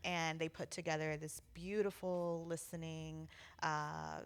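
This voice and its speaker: A woman co-hosting a community arts show, chatting casually in an expressive, emphatic way. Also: deep voice, a little slow, clean tone. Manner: expressive, emphatic, repeating words for agreement. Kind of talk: casual co-hosted chat, recapping an event.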